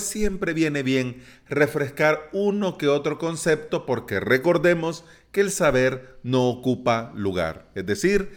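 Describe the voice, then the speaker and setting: A man hosting a solo tutorial podcast, explaining terms in a chatty, playful way with jokey asides. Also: medium pitch. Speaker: podcast host.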